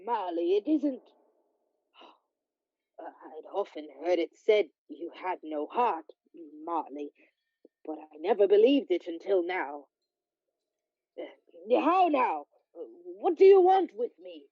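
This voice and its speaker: Radio play lead: crotchety old male skinflint played by a woman, slow and halting, dry muffled sound. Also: lowish voice, very animated, no room sound, full character voice. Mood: startled, disbelieving. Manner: slow, halting, curmudgeonly, with hesitations. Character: grumpy, skeptical old male miser, shaken by fear.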